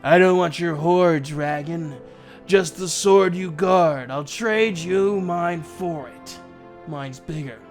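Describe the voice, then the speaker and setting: A man as a daring male dark elf, calm, bold, higher-pitched voice, storybook adventurer, music underneath. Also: takes it slowly. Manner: cool-headed, daring. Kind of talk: storybook character dialogue.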